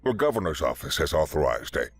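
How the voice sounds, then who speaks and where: A man doing a jittery amphibian curator who stammers and croaks out his words with a wet, husky rasp. The voice sits low but swoops widely up and down in alarm, over soft background music. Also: very animated, quick pace, exaggerated voice. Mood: desperate. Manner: stammering, anxious.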